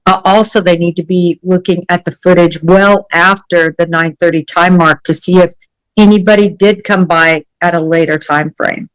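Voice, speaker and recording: A woman speaking off the cuff as a guest analyst, deliberate and emphatic in her delivery. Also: on the low side, steady tone, clear, resonant tone, no echo, poor audio. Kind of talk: unscripted case analysis in an interview.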